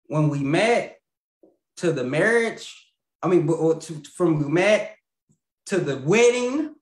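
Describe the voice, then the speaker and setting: A man in his thirties chatting as a podcast guest over a video call, rambling and laughing, often trailing off quietly. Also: on the high side, animated, slow pace, reverberant, poor audio. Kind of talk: casual interview chat over video call. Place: indoor home room with lamp. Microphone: video call, webcam.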